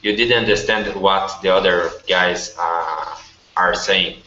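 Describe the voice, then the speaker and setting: A very deep-voiced Brazilian man speaks English with a Portuguese accent, halting as he looks for words. His tone is bright and forceful, in an echoey room. The call audio is dull with faint background noise. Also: fairly even intonation. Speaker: student. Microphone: video call.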